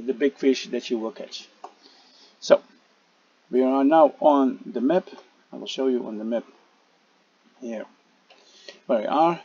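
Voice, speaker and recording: A man narrating a casual gameplay tutorial, speaking haltingly with frequent "uh" fillers and restarts. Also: mid-range voice, dark tone, slightly rough, dull audio. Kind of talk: casual game tutorial voice-over.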